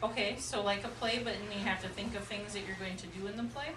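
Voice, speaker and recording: A woman's voice: mid-range voice, fairly even intonation, fast talker. A woman teaching young children in a classroom, patiently asking questions and repeating their answers back. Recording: echoey classroom, distant mic, noisy.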